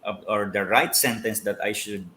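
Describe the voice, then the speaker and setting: A middle-aged man with a Filipino accent, a pastor answering interview questions in halting speech with many fillers and restarts. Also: on the low side, steady tone, pushed and bright, a little hiss or hum. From Philippines. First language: Filipino language. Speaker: pastor, guest.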